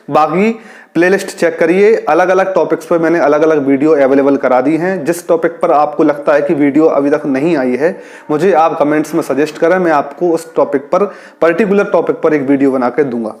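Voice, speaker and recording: A man's voice: a little high, darkish tone. A man gives an informal, instructive explainer to job aspirants, repeating key points for clarity. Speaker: police radio branch employee presenting an exam-guidance channel.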